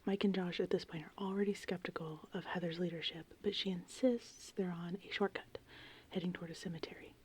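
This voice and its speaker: A woman host speaks in a hushed, breathy ASMR whisper with a mellow, dark tone. She rambles casually, as if retelling a story in conversation. Gentle rain and thunder sit faintly behind her voice. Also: on the low side, steady tone, brisk. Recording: faint background noise, rain and thunder ambience. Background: gentle rain and thunderstorm ambience.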